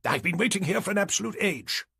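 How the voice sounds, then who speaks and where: A man's voice: sits high, animated, fast talker, gravelly, bright, forceful tone, slightly rough, no room sound, studio quality, voice pushed up, exaggerated voice. A man voicing an indignant, pompous middle-aged neighbour in an audiobook, with a clipped British accent. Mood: impatient. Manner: pompous, exasperated.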